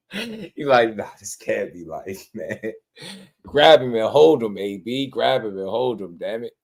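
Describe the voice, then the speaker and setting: A man hosting a casual boxing talk show, animated and slangy, breaking into laughs as he riffs on fights. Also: lowish voice. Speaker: boxing podcast host.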